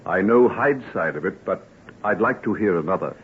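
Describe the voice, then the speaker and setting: Hesitant, guilt-laden gentleman, tinny radio. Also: sits low, expressive. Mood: uneasy, guarded. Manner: hesitant, halting. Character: reserved, uneasy gentleman burdened with guilt. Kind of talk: old-time radio drama acting. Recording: tinny, hissy old radio drama recording.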